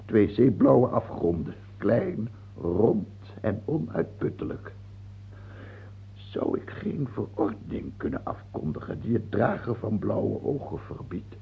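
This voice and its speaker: An actor playing a cruel, mocking and capricious king in a radio drama, imperious, husky and gravelly. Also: lowish voice, big pitch swings, slow, full character voice. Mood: sly, taunting. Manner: imperious, taunting, hesitating then outbursting. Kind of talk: theatrical radio drama acting. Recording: muffled, phone-like old radio play recording.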